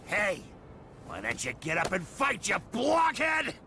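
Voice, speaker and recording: A man playing an aggressive, taunting street thug in a video game, shouting and mocking. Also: high voice, wildly swooping pitch, a little slow, creaky, music in the background, exaggerated voice. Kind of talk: video game cutscene acting.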